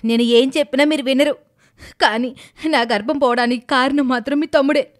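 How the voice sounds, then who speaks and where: A woman acts a sly young village wife in an animated moral tale. Her voice is high, with a complaining, self-pitying manner. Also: fairly quick, lightly acted. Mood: defensive. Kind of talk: animated moral story dubbing.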